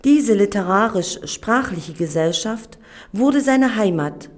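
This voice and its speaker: A woman narrating a documentary in a measured, solemn reading voice. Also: mid-range voice, expressive.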